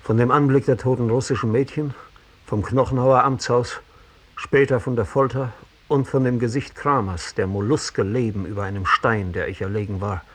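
An actor playing a sensitive, disgraced gentleman in a 1960s German radio play, confessing in measured, literary phrases with a husky voice. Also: medium pitch, fairly even intonation, close to an everyday voice. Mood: haunted recollection.

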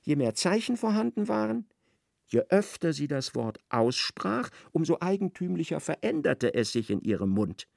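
An actor playing the precise, articulate narrator of a literary radio drama. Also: male voice, on the high side, sing-song, normal speed, creaky, a little mellow, dry recording, studio quality, heavily acted. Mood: precise, wry. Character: precise, observant third-person male narrator of a novel.

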